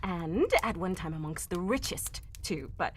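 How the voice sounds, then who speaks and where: A woman playing a nervous, desperate young lady in an old-time radio play, halting and formal in her speech. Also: on the low side, lively intonation, slight background noise. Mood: anxious. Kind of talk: cold-read live old-time radio drama acting.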